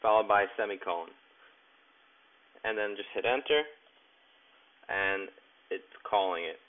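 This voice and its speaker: Through a narrow, phone-like recording, a man with a lowish voice presents a technical tutorial. He explains each step plainly and instructionally, in halting phrases. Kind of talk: step-by-step tech tutorial narration. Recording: tinny, narrow phone-like sound.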